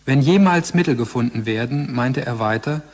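A man's mid-range voice from an actor narrates a radio play. He is formal, measured and detached, like an academic report. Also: medium pitch, a little mellow, lightly acted. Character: sober male documentary-like narrator, detached and academic. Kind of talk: scripted narration.

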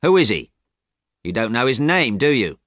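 A man's voice: medium pitch, very animated, moderate pace, a bit bright, no room sound, studio quality, voice pushed up. Man acting an angry, protective teenage brother. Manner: insistent, blunt. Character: angry, protective sixteen-year-old brother, large and brooding.

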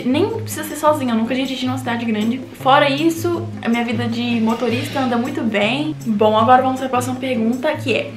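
A woman's voice: on the high side, reverberant. An eighteen-year-old woman with a Brazilian Portuguese accent, chatting casually as she answers viewer questions in a vlog, full of fillers and "you know?" tags. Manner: chatty, many fillers like "tipo assim" and "entendeu?". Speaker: vlogger.

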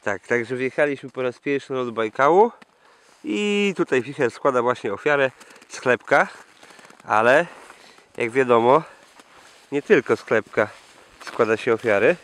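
A man's voice: mid-range voice, animated, unhurried, pauses often. A man narrating a trip casually to camera, with a wry, mock-formal manner. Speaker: expedition cyclist. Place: open outdoors on a frozen lake. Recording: outdoors with loud noise and clicks.

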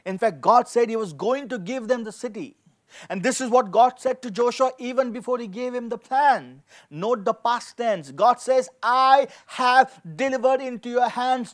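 A middle-aged man preaching a sermon as a pastor, emphatic, repeating key phrases and asking rhetorical questions. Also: very high voice. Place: indoor studio with dark backdrop, at a lectern. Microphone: handheld microphone.

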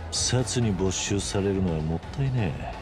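An actor playing a stoic, tough middle-aged former yakuza in a video game, speaking gruffly and tersely without getting rattled. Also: male voice, low-pitched, lively intonation, moderate pace, music in the background. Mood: firm, unimpressed. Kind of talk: video game cutscene acting.

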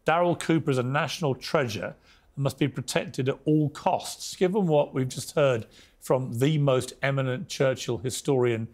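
A man's voice: mid-range voice, normal speed, a little mellow. A British man hosting a talk show, pressing his guests with pushy, incredulous questions and interrupting. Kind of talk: combative interview.

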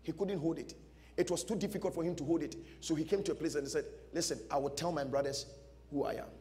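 Male pastor, higher-pitched, emphatic sermon storyteller in a reverberant hall. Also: steady tone, fast talker, warm tone. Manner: emphatic, repeats short phrases, acts out dialogue. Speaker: pastor preaching. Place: large church stage before a seated audience. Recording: music behind, long hall reverb.

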